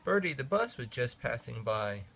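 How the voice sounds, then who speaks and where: A father reading a children's picture book aloud in an expressive, sing-song way. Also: medium pitch, moderate pace, creaky, a little hiss or hum. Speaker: father reading to his kids.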